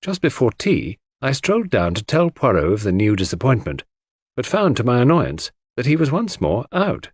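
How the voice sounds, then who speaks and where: A man's voice: mid-range voice, wildly swooping pitch, normal speed, husky, no echo, clean studio sound. A man giving a dramatic scripted narration of a classic mystery audiobook.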